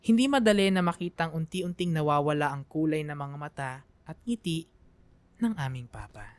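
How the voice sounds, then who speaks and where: A woman's voice: low voice, expressive, normal speed, no room sound. A woman with a Filipino accent giving an emotive, reflective scripted narration for a radio drama.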